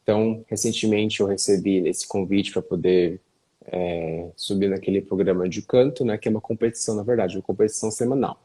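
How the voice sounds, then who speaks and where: A young man with a Brazilian Portuguese accent chats easily as an interview guest, leaning on fillers and little tag questions. His voice sits low and husky, with a dark, mellow tone. He sounds like he is on a video call. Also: steady tone, a little fast. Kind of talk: casual interview chat.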